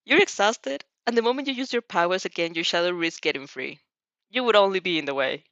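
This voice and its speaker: A woman's voice: lowish voice, lively intonation, brisk, a bit bright, dry recording, muffled recording. A woman voicing a cautious, nagging inner voice of logic that questions and warns in a story. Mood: doubtful, warning.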